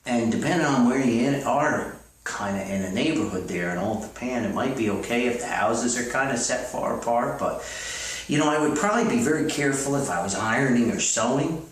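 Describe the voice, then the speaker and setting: A man hosting a rambling comedic mailbag podcast, reading letters in a folksy, halting way with frequent digressions. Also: mid-range voice, lively intonation. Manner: folksy, halting, repeats himself, digresses often. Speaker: podcast host and author reading fan mail. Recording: roomy echo, distant mic, faint noise.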